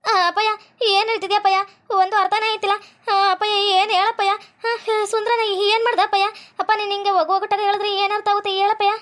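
Woman doing a young village girl begging her dad for answers, twang, super high and fast. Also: little pitch movement, fairly bright tone. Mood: anxious pleading. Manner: pleading, repeats herself anxiously. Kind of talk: homemade family drama skit.

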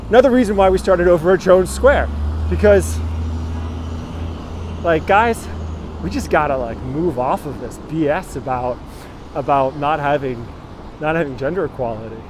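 A man's voice: fairly high pitch, animated, unhurried, pauses often, music in the background. A man in his thirties chatting his way through a walking tour, greeting viewers by name as he walks outdoors and films on a phone held at arm's length. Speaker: tour guide. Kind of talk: live-streamed walking tour. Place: outdoors on a city street. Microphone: phone held at arm's length while walking. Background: street traffic.

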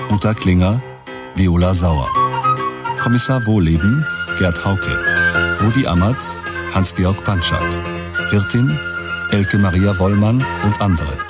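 A low male voice reads scripted credits as a radio play announcer. The delivery is formal, with loud music playing underneath.

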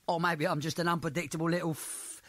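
An actor playing a cocky, mischievous young rock singer in a showy theatrical stage monologue. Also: male voice, on the high side, little pitch movement, brisk, a bit bright, no room sound, lightly acted.

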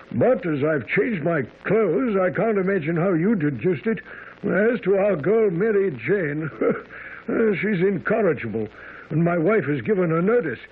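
An actor playing a genial, easily astonished gentleman doctor in a radio drama, speaking in a hearty, old-fashioned way. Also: sits high, slightly rough. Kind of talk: radio drama acting and narration. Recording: narrow lo-fi vintage radio sound.

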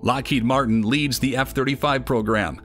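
A man giving scripted documentary narration in an even, measured delivery. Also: mid-range voice. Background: music.

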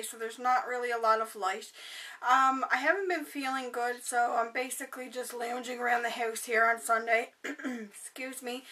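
Hoarse young woman rambling on her bedroom webcam, bright and a bit far off. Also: on the high side. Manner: chatty and rambling, many fillers. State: hoarse, voice going. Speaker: beauty vlogger. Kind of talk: casual haul chat to camera. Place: bedroom, indoors. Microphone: webcam at desk distance.

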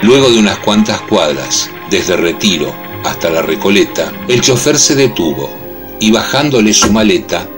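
A man's voice: lowish voice, animated, normal speed. A man delivering scripted narration for a radio soap opera. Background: music.

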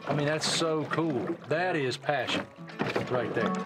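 A man hosting a fishing outing on an open boat, enthusiastic and excitable in casual chat. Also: a little high, unhurried, over loud music. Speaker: host. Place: open boat at sea, outdoors.

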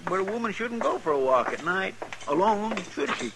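An actor playing a folksy, fretful, simple-hearted marshal's helper with a rural Western American drawl in a noisy 1950s radio western. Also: male voice, high voice, big pitch swings, fairly quick.

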